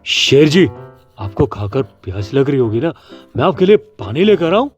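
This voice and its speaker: A man's voice: mid-range voice, sing-song, moderate pace, natural voice. Man as a friendly clever animal, dull recording. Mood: flattering. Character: friendly, quick-witted, flattering small animal. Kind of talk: children's cartoon story acting. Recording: dull lo-fi sound with faint music. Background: faint music.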